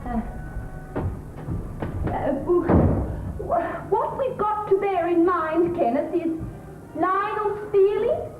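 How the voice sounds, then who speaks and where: A woman's voice: very high-pitched, exaggerated voice. A woman with an English accent playing an anxious, evasive girlfriend in an amateur stage play, earnest with dry asides. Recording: tinny old tape, roomy, background hiss.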